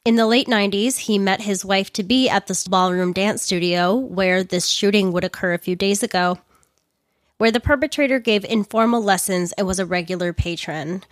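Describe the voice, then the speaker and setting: A woman, somewhat hoarse from losing her voice, narrating a crime story with earnest personal commentary. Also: mid-range voice, moderate pace, dry recording, clean studio sound.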